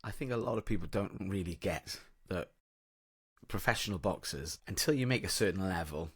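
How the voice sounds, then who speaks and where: Deep-voiced man hosting a podcast. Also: lively intonation, normal speed, slightly rough, dry recording, clean studio sound. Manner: rambling, frequent restarts and fillers. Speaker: podcast host. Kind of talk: casual interview chat.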